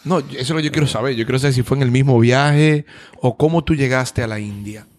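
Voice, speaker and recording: A man's voice: mid-range voice, fast. A man, interviewing a guest in a casual, conversational style. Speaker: interview host. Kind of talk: casual chat.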